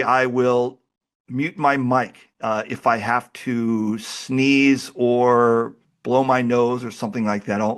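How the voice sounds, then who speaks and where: A man hosting a podcast while he has a cold, talking in a relaxed, chatty and self-deprecating way. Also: medium pitch. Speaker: podcast host. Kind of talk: casual interview chat.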